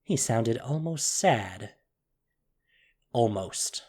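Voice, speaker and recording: A man narrating a dramatic fantasy story solemnly, with deliberate pauses, over a music bed. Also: mid-range voice, expressive, a little mellow, slightly rough.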